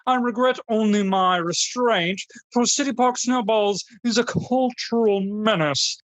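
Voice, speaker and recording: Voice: mid-range voice, a little slow, dry recording, lightly acted. An actor voicing a pompous, haughty snob who hosts a parody radio show about snowballs in florid diction. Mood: indignant. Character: pompous aristocratic snobbish New Orleans snowball connoisseur host. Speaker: host of a food radio show.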